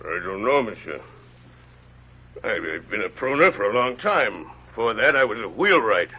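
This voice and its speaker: A man playing a simple, frightened peasant prisoner who speaks haltingly and pleadingly in an old radio drama. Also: lowish voice, very animated, slightly rough. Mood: muddled, meek. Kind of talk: 1930s radio drama acting. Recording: dull old radio recording.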